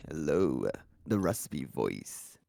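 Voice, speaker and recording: A man hosting a casual podcast, talking in a chatty, rambling way with a slightly tired, raspy voice. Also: extremely low pitch, sing-song, takes it slowly, dark tone. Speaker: podcast host.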